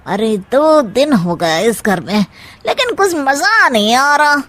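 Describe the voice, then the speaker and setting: Cartoon troublemaker uncle, played by a woman: very slow, high-set voice, scheming, wheedling, full of mock concern. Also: sing-song, a bit bright, exaggerated voice. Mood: gleeful scheming. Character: scheming, meddling uncle, sly male troublemaker. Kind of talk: Hindi animated comedy story acting.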